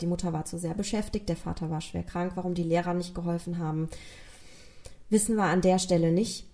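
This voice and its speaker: A woman's voice: lowish voice, warm tone. A woman from Rhineland-Palatinate with a regional German accent, earnestly retelling a true crime case as a podcast host and speaking directly to her listeners.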